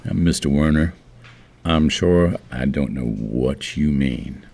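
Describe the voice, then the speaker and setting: Very deep, gravelly, condescending banker. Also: male voice, darkish tone, a little hiss or hum, exaggerated voice. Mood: feigned innocence. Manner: falsely courteous, drawn-out, patronizing. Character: smooth, condescending, crooked male small-town banker. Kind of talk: audio drama acting.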